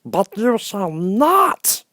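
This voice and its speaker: A man voicing a short, stout, mocking hammerhead creature with a rough, high, defiant voice. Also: sing-song, very slow, bright, forceful tone, no room sound, clean studio sound, exaggerated voice. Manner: defiant, harsh.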